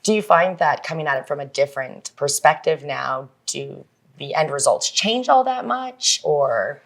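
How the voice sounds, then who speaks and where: A woman's voice: deep voice, lively intonation, normal speed, vocal fry. A woman hosting a casual interview in an enthusiastic, slangy way. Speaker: interview host.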